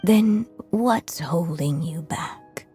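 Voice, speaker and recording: A woman playing a gentle dying mother, a writer who lingers as a magical echo, with soft, tender, measured delivery in a dramatic video game. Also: on the low side, faint music behind. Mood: tender, resigned. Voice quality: soft, breathy.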